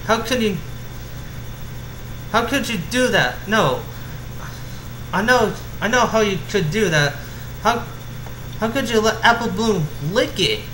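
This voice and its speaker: An outraged female cartoon pony, a bossy and protective big sister, acted out by a man. The voice is pushed well up in pitch. It sits in an echoey room with clear noise behind. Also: animated, measured pace, pauses often, sharp tone, full character voice. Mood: angry. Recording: roomy echo with clear background hum.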